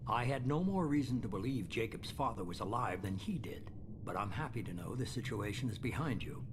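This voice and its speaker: An actor playing a cold, calculating male spymaster in a video game, speaking measured and icy. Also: on the low side, animated, noisy background, lightly acted.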